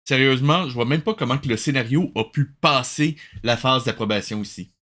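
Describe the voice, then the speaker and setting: Bright, forceful male livestream host. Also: medium pitch, brisk. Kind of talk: casual livestream reaction commentary.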